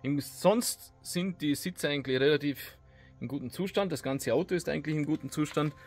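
A man's voice: a little high, a little fast, faint music behind. A man, casually explaining a repair job in his garage workshop, with hesitant fillers. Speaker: DIY video host. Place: home garage workshop, indoors. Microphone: camera mic, close then farther as he works on the seat.

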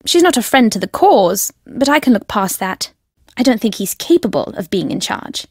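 A fervent, cryptic alien believer. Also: female voice, on the high side, big pitch swings, normal speed, breathy edge, dry recording, clean studio sound. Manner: curt and cryptic, turning fervent. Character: secretive adult woman, zealous believer in ancient aliens. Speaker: video game character. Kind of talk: recorded game voice lines.

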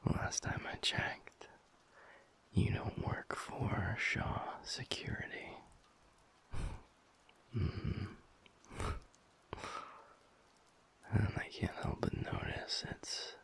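A man playing a teasing, affectionate alpha werewolf boyfriend in an intimate audio roleplay, speaking in a breathy, husky near-whisper. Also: fairly low pitch, heavily acted. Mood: playful teasing. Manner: playful teasing with soft chuckles and unhurried pauses.

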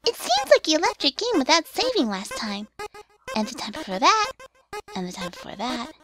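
A woman's voice: sits high, wildly swooping pitch, normal speed, loud music behind the voice. A woman voicing a small, childlike talking flower in a fan comic dub, mocking and sinister with sing-song sarcasm. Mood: mock-cheerful.

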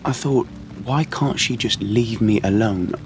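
A man in his twenties playing an awkward, uneasy young man in an audio play, speaking haltingly. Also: lowish voice, darkish tone, a little hiss or hum, lightly acted. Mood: hesitant, confiding. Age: 20s. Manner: hesitant, halting. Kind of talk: audio play monologue and dialogue. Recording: music behind the voice.

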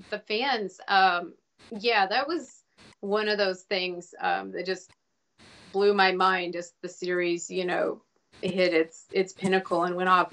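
A woman's voice: mid-range voice, a bit bright. American author in her forties on a home webcam call, stop-start and reflective. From United States. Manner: thoughtful, halting with many restarts. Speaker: author guest. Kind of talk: casual live video chat. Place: home room with a shelf behind. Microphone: webcam on a video call.

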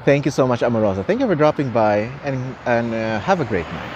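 Chatty streamer, lively up-and-down voice. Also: male voice, mid-range voice, fairly quick, dull audio. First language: Tagalog. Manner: chatty, laughs often, repeats words. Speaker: live streamer. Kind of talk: casual chat with viewers. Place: outdoors at a gas station at night. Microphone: phone camera at arm's length. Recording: faint outdoor noise. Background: traffic and city ambience.

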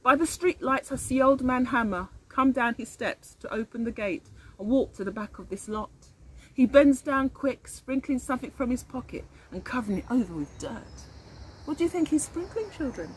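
A woman's voice: sits high, normal speed, slightly rough, low-quality recording. A middle-aged woman, an early years teacher, reading a picture book aloud expressively and speaking to children. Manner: animated, addressing children with questions. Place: outdoors in a leafy garden. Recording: faint outdoor background noise.

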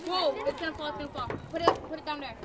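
A woman's voice: high-pitched, steady tone, fast, heavy background noise. A young woman at an outdoor girls' soccer match, chatting playfully and teasing her friend from the sideline. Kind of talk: casual sideline chat. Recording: phone recording with loud crowd.

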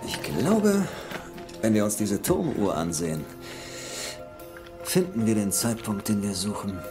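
A man's voice: on the low side, very animated, measured pace. A man acting an eager, well-read treasure hunter in a German film dub, polite and explanatory. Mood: focused. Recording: film soundtrack with music behind.

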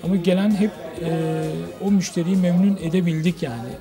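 Middle-aged man, higher pitch, over music. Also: fairly even intonation, fairly quick. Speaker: restaurant owner being interviewed. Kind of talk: informal interview answers. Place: restaurant dining room. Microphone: handheld reporter microphone.

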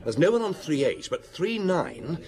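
An actor playing a dutiful young police inspector in a radio drama, respectful and matter-of-fact. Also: male voice, on the high side, big pitch swings, normal speed, a little mellow. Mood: reporting. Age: young adult.

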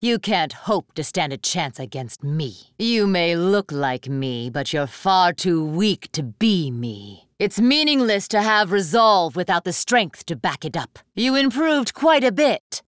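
A woman's voice: lowish voice, sing-song, a little slow, few pauses, no echo, clean studio sound. An actress voicing a proud, ruthless young female warrior for an anime fighting game, with a haughty, commanding, theatrical delivery. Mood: cold disdain.

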